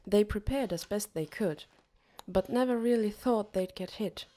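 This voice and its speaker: A woman narrating an audiobook as a pensive, uneasy teenage boy, in a measured, introspective way. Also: medium pitch, warm tone, close to an everyday voice. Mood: somber.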